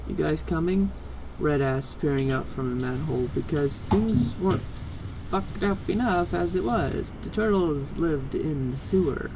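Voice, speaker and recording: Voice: medium pitch, unhurried, background noise. An amateur reader reading fan fiction aloud in a casual setting.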